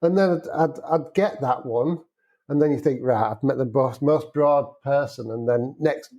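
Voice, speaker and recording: A man's voice: medium pitch, moderate pace, warm tone, lo-fi sound. Chatty Yorkshireman with a broad accent. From Yorkshire, England. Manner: broad dialect, chatty, self-mocking storyteller. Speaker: wedding photographer guest. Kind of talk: casual podcast chat.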